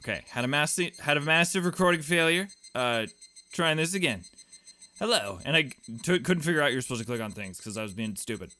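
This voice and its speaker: A man's voice: a little high, lively intonation, a little fast, sharp tone. A man giving casual playthrough commentary as a game streamer, with playful, wry asides.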